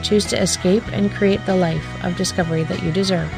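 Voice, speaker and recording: A woman reading a radio ad in a smooth, aspirational way. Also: lowish voice, fairly even intonation, a little fast, poor audio. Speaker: ad announcer. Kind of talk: scripted radio ad read. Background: guitar music.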